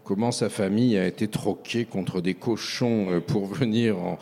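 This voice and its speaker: An older man from France, a writer answering interview questions in a halting, reflective way with frequent hesitations. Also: lowish voice, vocal fry, warm tone. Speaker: author guest. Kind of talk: radio interview.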